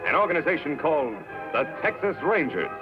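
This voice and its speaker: A man's voice: fairly high pitch, big pitch swings, a little fast, over loud music. A man with an American accent delivering dramatic scripted narration for an old-time western radio show. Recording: muffled old radio recording.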